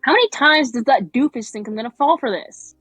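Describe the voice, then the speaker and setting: A woman's voice: high voice, lively intonation, brisk, fairly bright tone, breathy edge, no room sound, soft background music, pitched above the performer's own voice, heavily acted. A woman voicing a sardonic, unimpressed young girl in an amateur cartoon table read, with a sarcastic delivery. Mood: annoyed.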